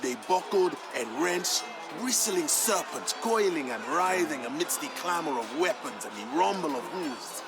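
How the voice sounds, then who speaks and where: An actor playing a proud royal griot, narrating a strategy game's campaign story in a grand, measured and emphatic manner. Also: male voice, fairly high pitch, lively intonation, a crowd in the background. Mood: intense, dramatic. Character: proud male griot, a king's humble family historian, solemn storyteller. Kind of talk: dramatic scripted narration for a strategy game campaign. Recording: music behind the voice. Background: background music.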